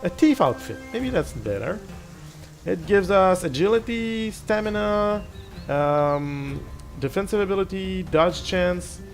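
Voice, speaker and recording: A man's voice: sits high, animated, slow. A middle-aged man whose first language is French, casually commenting on a game as he plays, thinking aloud with restarts and little self-deprecating asides. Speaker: gaming channel host. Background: game music.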